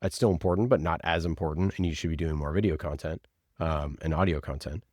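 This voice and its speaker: A man's voice: a bass voice, fast, dry recording, studio quality. A man talking casually as an interview guest and podcast producer, giving emphatic, opinionated advice and acting out imagined exchanges.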